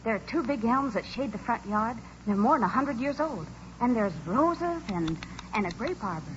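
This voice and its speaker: An actress playing an earnest, trusting young farm woman in a radio play, wholesome and gentle in manner. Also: a little high, expressive, normal speed, close to an everyday voice. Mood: earnest, nostalgic. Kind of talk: 1940s radio acting. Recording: dull old radio recording, faint noise.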